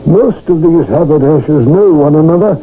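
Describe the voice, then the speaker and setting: High-pitched, theatrical male radio narrator. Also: phone-quality sound, slight background noise. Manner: theatrical, deliberate, storytelling. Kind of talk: dramatic scripted radio narration, 1970s. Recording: thin old radio broadcast.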